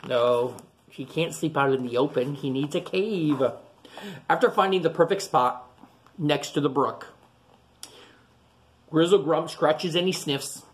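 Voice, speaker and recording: A man's voice: a little high, unhurried, pauses often. A man in his forties, a teacher reading a picture book aloud for children and explaining each page, recorded close on a webcam in a classroom. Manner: animated, explains the story to children between pages. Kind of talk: children's storytime read-aloud. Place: classroom, indoors. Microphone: webcam at close range.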